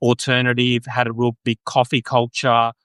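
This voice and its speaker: An Australian man hosting a podcast conversation. His voice sits at an ordinary pitch and comes across dry and close. He talks loosely, often restarting and agreeing along the way. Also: completely flat delivery, moderate pace, a bit bright, smooth, no room sound. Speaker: podcast host. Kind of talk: casual political chat.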